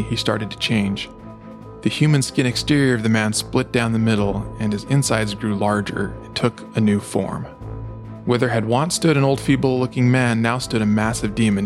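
A man reading a fantasy story aloud as dramatic scripted narration. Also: lowish voice. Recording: music behind the voice. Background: dramatic background music.

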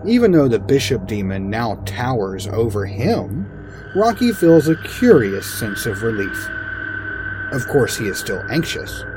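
Casting: male narrator, mid-pitched, theatrical and unhurried, for a comic fantasy reading with music underneath. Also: big pitch swings, rough recording. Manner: theatrical, deliberate.

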